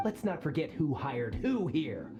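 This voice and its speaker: Greedy merchant, bossy and boastful, swooping delivery, game score behind. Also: male voice, a little high, sing-song, muffled recording. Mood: indignant. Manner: grasping, quick to anger, haughty. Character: greedy boastful male merchant, middle-aged. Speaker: expedition sponsor. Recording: game cutscene over music. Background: music.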